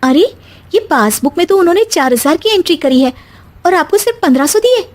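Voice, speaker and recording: A woman's voice: extremely high pitch, animated, a little fast, pitched above the performer's own voice. A woman voicing an outspoken, educated young daughter-in-law in a cartoon story, emphatic and protesting. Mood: alarmed. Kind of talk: animated Hindi story dialogue.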